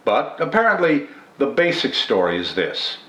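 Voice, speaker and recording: An older man presenting poetry in a formal, measured, scripted style, enunciating carefully. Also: medium pitch, sing-song, a bit bright. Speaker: host of a poetry reading channel. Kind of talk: scripted literary commentary and poetry recitation. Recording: roomy indoor echo.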